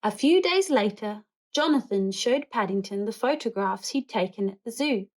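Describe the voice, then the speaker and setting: A woman reading a picture book aloud to children in an expressive, animated storytelling way. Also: medium pitch, moderate pace. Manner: animated, acts out characters and animal noises.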